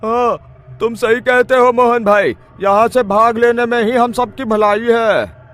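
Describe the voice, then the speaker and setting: A man's voice: extremely high pitch, steady tone, a little slow, fairly bright tone. A man voicing a cautious, scolding villager in a children's cartoon. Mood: fearful. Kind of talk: children's cartoon story acting.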